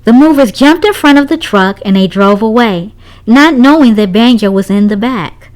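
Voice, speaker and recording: A woman's voice: on the high side, expressive, normal speed. A woman reading a children's story aloud, narrating expressively for young listeners.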